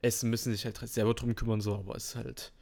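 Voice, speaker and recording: A man's voice: medium pitch, little pitch movement, fast, vocal fry, a little mellow. Laid-back young man, slangy chat. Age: turning 19. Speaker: podcast co-host. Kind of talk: casual chat.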